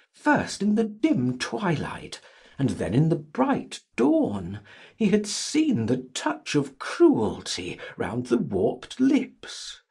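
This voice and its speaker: A man narrating a literary audiobook in a dramatic, theatrical and measured style. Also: mid-range voice, a little mellow, slightly rough.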